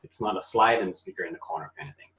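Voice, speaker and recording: Low-voiced man with a Malaysian accent. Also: fast. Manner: relaxed, thinks aloud with fillers. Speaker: webinar co-host. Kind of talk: casual online webinar talk. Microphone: video call.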